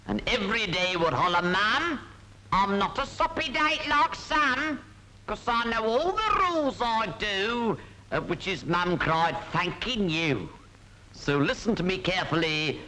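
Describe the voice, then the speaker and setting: A man's voice: high voice, bright, forceful tone, full character voice. A man playing a comic 1940s film instructor, reciting a road safety rhyme in a singsong, mock-stern way. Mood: jaunty storytelling. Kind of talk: 1940s public information film verse narration. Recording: noisy 1940s British film soundtrack.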